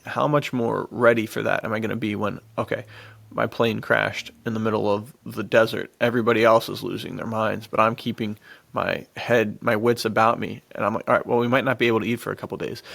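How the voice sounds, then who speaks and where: A man podcast co-host with a gravelly, creaky voice, chatting in an informal, rambling way and often saying "dude". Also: lowish voice, fairly bright tone, no room sound. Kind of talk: casual chat about adventure fiction.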